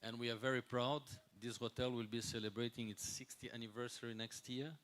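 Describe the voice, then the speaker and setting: A man in his sixties, a non-native English speaker, gives an unscripted conference talk as a hotel executive, often pausing and repeating words as he searches for the next one. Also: medium pitch, level tone, a bit bright. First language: not English. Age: 60s.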